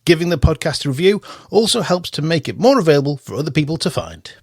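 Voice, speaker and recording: A man hosting a theatre podcast, presenting in an upbeat, lively way and chatting with guests. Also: on the high side, fast talker, slightly rough, no room sound, clean studio sound. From Isle of Man. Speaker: podcast host. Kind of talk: radio podcast presenting and chat.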